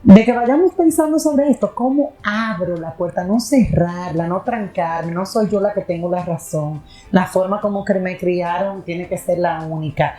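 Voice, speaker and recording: Mellow-voiced woman host, rambling. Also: on the low side, moderate pace, hardly any pauses, soft background music. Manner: rambling, self-correcting, restarts with fillers. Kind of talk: conversational panel talk.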